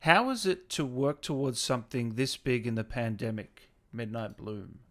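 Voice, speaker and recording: A man's voice: medium pitch, moderate pace, a bit bright. A man hosting a casual interview over a video call, chummy and encouraging as he chats and reads out questions. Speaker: interviewer, host.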